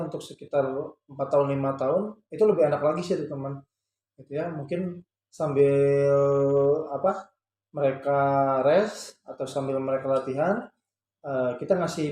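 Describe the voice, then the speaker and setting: A man's voice: fairly high pitch, warm tone. A man chats casually as a vlogger, explaining a product in a hesitant, repetitive way. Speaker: vlogger and parent showing a product. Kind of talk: casual explanatory vlog chat. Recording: echoey room with faint background noise.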